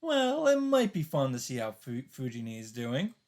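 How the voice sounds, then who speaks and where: A man's voice: mid-range voice, huge pitch swings, normal speed, darkish tone. A man narrating a visual novel aloud and adding casual commentary as a let's-play host, with game music behind him. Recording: background music.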